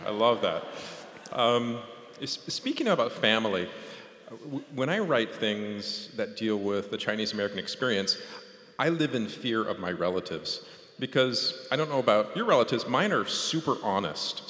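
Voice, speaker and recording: A man's voice: fairly low pitch, very animated, normal speed, bright, forceful tone. A man moderating an onstage conversation in a casual, informal and lightly joking way. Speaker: interviewer and moderator, fellow author. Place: large concert hall stage. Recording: echoey concert hall with long reverb.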